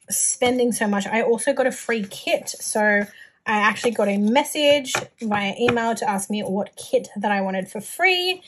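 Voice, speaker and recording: A young Australian woman chatting casually and informally as she shows off a shopping haul, with a gushing manner. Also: medium pitch. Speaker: planner haul vlogger. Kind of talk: casual chatty product show-and-tell.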